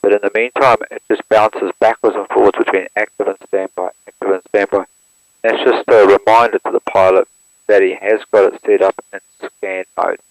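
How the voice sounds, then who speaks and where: A man, walking through how to operate an aircraft radio step by step in a plain, steady manner, recorded through an intercom headset. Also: lowish voice, normal speed, no echo, low-quality recording. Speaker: instructor demonstrating an aircraft radio. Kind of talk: hands-on equipment walkthrough.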